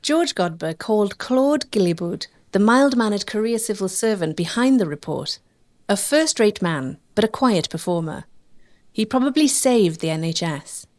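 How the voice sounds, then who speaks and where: A woman serves as narrator of a documentary. She reads a prepared script in a mid-range voice, presenting it as factual narration. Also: mid-range voice.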